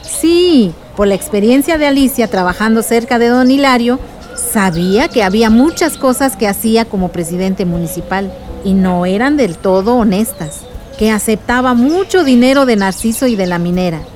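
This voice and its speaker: An actress playing a reflective aunt who explains family history in a radio drama. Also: female voice, on the high side, animated, moderate pace, a little mellow, faint music behind, close to an everyday voice.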